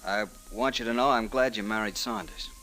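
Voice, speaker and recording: A man playing an injured, gently resigned former love in an old-time radio drama, halting and subdued in manner. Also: lowish voice, steady tone, poor audio. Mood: resigned, earnest. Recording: old radio drama, faint music behind.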